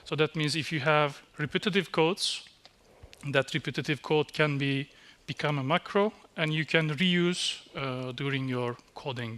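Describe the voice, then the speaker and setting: A man, giving a technical conference talk on a dark stage, halting with fillers and restarts. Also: a little high. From Turkey. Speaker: conference speaker. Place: dark stage in a small venue.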